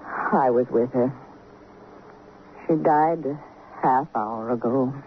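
A man's voice: medium pitch, takes it slowly, narrow, tinny audio, lightly acted. A man acting a subdued, polite attendant at a sickbed in an old-time radio drama. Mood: subdued, sorrowful. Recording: noisy, thin vintage radio recording.

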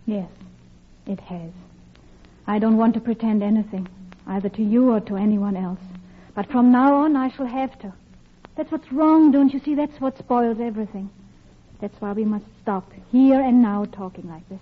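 A woman's voice: fairly high pitch, moderate pace, lightly acted. An actress playing a guilty, lovelorn married Englishwoman in an old radio drama, with a clipped, genteel English accent and wistful restraint. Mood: pained, firm. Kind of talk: old radio drama acting, first-person narration. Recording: muffled old radio recording, music behind.

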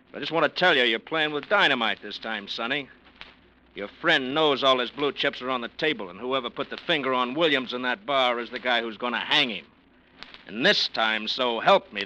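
An actor playing a tough, wry American plainclothes detective who talks in pointed slang in an old radio crime drama. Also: male voice, medium pitch, sharp tone. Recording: faintly noisy old radio recording.

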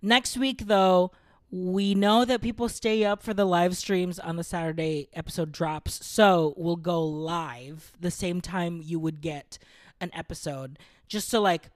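A woman's voice: fairly low pitch, fairly even intonation, unhurried, no echo, studio quality. A woman co-hosting a casual chat show, dramatic and jokey, often breaking into mock sobbing. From Philippines. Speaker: podcast co-host.

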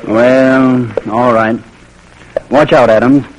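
An actor playing a brisk, suspicious army sergeant in an old radio drama, with a clipped, commanding manner and rough voice. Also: male voice, medium pitch, steady tone, crowd noise, close to an everyday voice, poor audio. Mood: brisk, relenting.

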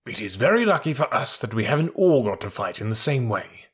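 Man as skittish, husky cavalry horse. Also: mid-range voice, very animated, fairly quick, fairly bright tone. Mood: thoughtful. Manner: earnest, skittish. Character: high-strung, sensitive male cavalry horse.

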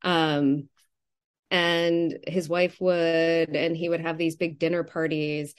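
A woman with a slightly low-set voice speaks as a guest in a relaxed literary interview. She thinks aloud, hesitating and doubling back on her sentences as a novelist working out her answer. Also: smooth. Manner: halting, self-interrupting, thinking aloud, laughs readily.

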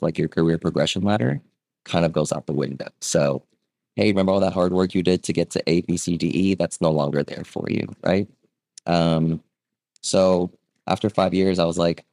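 This is a man in his thirties with a very deep voice, talking as a guest on a casual podcast. He tells his story in a loose, chatty way, peppered with "um" and "right?", and acts out the people he quotes. The recording is dry, with no room echo. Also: a little mellow. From Vietnam. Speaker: guest, real estate agent.

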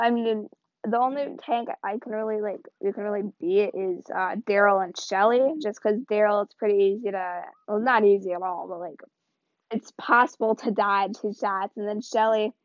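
A woman's voice: medium pitch, no echo. A woman hosting a podcast in casual, rambling talk, her voice tired and strained. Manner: rambling, loose and chatty. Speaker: podcast host. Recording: dull lo-fi, dry sound.

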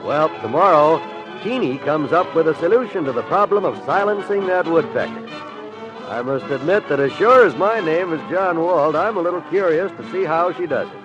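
A man's voice: a little high, animated, normal speed. An American man announcing on 1950s radio with polished, emphatic, scripted salesmanship. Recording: dull vintage radio recording. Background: music.

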